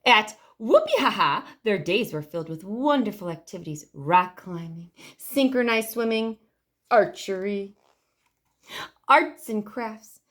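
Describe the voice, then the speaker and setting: A woman reading a picture book aloud to children expressively, stretching key words for emphasis. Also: mid-range voice. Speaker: library storytime reader.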